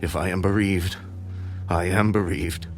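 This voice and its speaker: A man's voice: deep voice, fairly even intonation, a little slow, over music. An actor playing an old, weary patriarch in a Bible audio drama, grave and commanding with his sons. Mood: weary resignation. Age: older. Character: old patriarch father, weary, reproachful, resigned.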